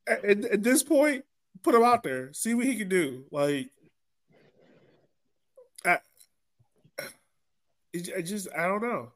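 A man's voice: high-pitched, very animated, slow, long pauses, fairly bright tone. Man, rambling sports podcaster. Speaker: soccer podcast host. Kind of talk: casual sports discussion.

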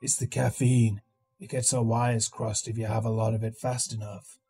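A man voicing a sly, sweaty male inmate who hustles coffee, talking low and coaxing. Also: level tone, moderate pace, mellow, husky, soft background music.

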